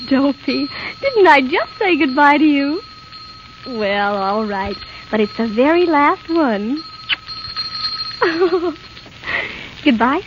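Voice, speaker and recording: A trusting young princess with an extremely high, fluting voice. She speaks slowly, gently and artlessly, like a fairy-tale heroine in an old radio play. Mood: fond. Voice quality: girlish, fluting. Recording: scratchy, muffled 1930s radio broadcast.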